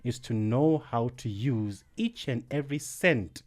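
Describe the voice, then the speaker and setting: A man's voice: mid-range voice, measured pace. A man hosting a radio show, reading out listener messages and giving upbeat sign-offs. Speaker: radio host.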